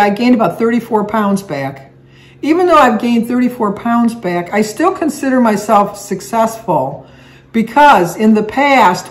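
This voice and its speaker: Older woman vlogger, chatty home-video voice, seated at table camera. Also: mid-range voice. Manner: chatty, rambling with restarts and fillers. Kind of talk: casual chat. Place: living room at home, indoors. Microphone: camera on a table, seated facing it.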